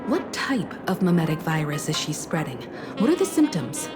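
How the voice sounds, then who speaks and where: A cool serious game investigator woman, lowish and slow, curt, music underneath. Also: big pitch swings. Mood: cool, focused. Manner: curt, businesslike. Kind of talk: video game voice acting. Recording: background music behind the voice.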